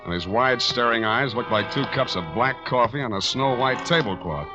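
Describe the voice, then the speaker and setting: An actor playing a sardonic, hardboiled private detective, wisecracking and narrating in 1940s radio style. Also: male voice, medium pitch, lively intonation, moderate pace, natural voice. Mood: wry, atmospheric narration. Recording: dull 1940s radio recording, music behind.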